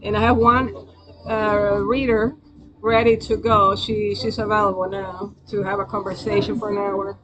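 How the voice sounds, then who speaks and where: A woman's voice: medium pitch, flat delivery, normal speed, fairly bright tone, highs cut off. A woman with a Spanish accent hosting a live stream, chatting loosely to viewers in a rambling, hesitant way. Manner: rambling, hesitant, many fillers and restarts. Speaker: livestream host.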